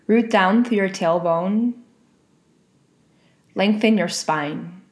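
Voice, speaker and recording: Slow, calm woman guiding meditation. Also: mid-range voice. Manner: calm, unhurried instructions with long pauses. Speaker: meditation guide. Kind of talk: guided meditation.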